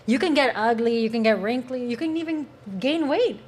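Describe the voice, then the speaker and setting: A woman's voice: fairly high pitch, a little fast, a little mellow, husky. A woman on a podcast panel, talking in casual conversation. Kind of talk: casual chat.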